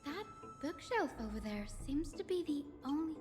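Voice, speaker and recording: High voiced gentle young scholar girl in a fantasy game, earnest, reverby, loud music behind. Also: sing-song, normal speed. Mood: thoughtful.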